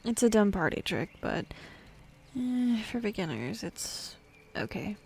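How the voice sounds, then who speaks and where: A woman playing a bossy, jaded female demon in an ASMR roleplay, speaking softly and often half-whispering, with dismissive sighs. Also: mid-range voice, very animated, measured pace, gravelly, slight background noise. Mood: casual, indifferent.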